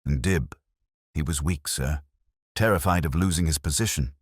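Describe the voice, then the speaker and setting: A man's voice: a bass voice, normal speed, breathy edge, no room sound, studio quality. A man voicing an eager, deferential police sergeant in a detective story, keen and plain-spoken with his superior. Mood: hesitant.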